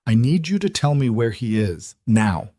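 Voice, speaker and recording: An anxious, determined young woman in an audiobook thriller, as a male narrator puts her on. The delivery is urgent and resolute, with a dry, close sound and a pitch lifted a little above the narration. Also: very animated, normal speed, mellow, dry recording. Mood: urgent, tense.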